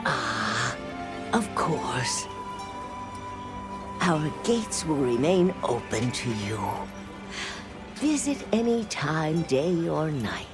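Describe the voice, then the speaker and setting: Village elder: deep female register, unhurried, widely swooping intonation, gracious and solemn, game score behind. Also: sing-song, exaggerated voice. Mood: welcoming, gracious. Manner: solemn, measured, formal. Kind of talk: video game cutscene acting. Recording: music behind. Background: music.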